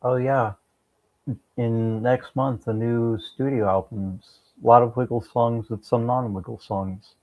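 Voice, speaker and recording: A man chatting casually as a podcast guest, laconic and halting in his speech, on slightly lo-fi audio. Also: mid-range voice, steady tone. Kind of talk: casual chat.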